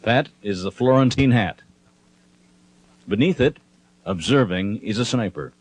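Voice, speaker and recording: Man narrating an instructional film, measured delivery. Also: on the low side, animated, breathy edge, rough recording. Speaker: documentary narrator.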